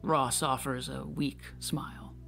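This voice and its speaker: A man's voice: medium pitch, little pitch movement, slow, vocal fry, bright, forceful tone, faint music behind. A man reading stage directions as scripted narration for a play.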